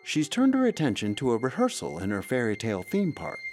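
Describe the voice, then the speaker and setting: A man reads scripted narration for an audio drama. His mid-pitched voice moves around expressively, with music playing behind him. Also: huge pitch swings, fairly quick.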